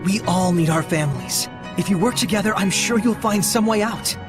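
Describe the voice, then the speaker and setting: An actor playing an earnest, compassionate young hero in a video game, with a persuasive way of speaking. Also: male voice, high voice, normal speed, natural voice. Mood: gentle, earnest. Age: young adult. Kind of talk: dramatic video game voice acting. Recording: music behind the voice.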